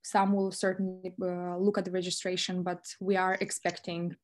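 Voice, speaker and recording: A woman's voice: fairly low pitch, completely flat delivery, moderate pace. A woman organising a startup webinar, chatting casually on a video call with hesitant fillers.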